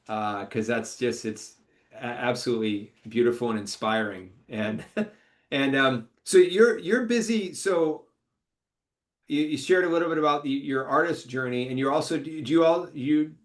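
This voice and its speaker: A man hosting a podcast, asking casual interview questions in a halting way with many repeated words and fillers. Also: mid-range voice. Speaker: podcast host.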